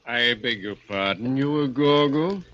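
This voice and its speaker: An actor playing an earnest, persuasive research doctor in a 1940s radio drama, polite and measured. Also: male voice, medium pitch, a bit bright, highs cut off, natural voice.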